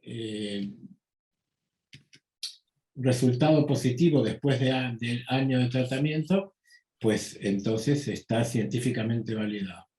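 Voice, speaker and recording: An older man with an Argentine Spanish accent, a psychoanalyst answering interview questions slowly and deliberately, with frequent pauses and fillers. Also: medium pitch, mellow, reverberant, rough recording. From United States. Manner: slow, measured, with many pauses and fillers. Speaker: psychoanalyst guest.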